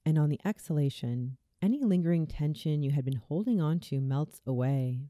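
A woman reading a scripted guided visualization in a soothing, deliberate way, as a meditation narrator. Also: deep voice, animated, warm tone, no room sound, studio quality. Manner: soothing, slow, deliberate delivery.

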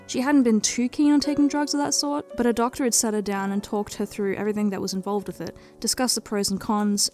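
A woman's voice: mid-range voice, darkish tone. A woman narrating her own written story as a dramatic reading, in the manner of a storyteller. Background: background music.

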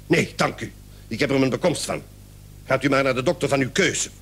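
An actor playing an irritable, self-righteous doctor in a radio play, pompous and scolding. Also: male voice, mid-range voice, fairly quick, fairly bright tone, husky. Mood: indignant.

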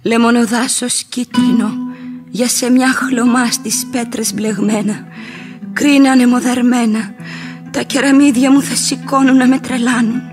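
A lovelorn young woman, played by an actress, speaks in a high voice over music. She is tender and anguished, declaiming poetic lines in radio theatre style. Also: level tone, close to an everyday voice. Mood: yearning. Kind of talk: lyrical radio theatre verse acting.

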